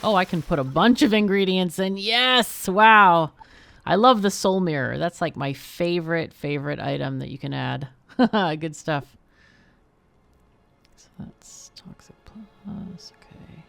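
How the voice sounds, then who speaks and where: A woman's voice: lowish voice, a little slow, creaky, long pauses, a bit bright, clean tone. A woman hosting a livestream, chatting casually as she plays a game. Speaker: livestream host. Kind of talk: casual live game chat.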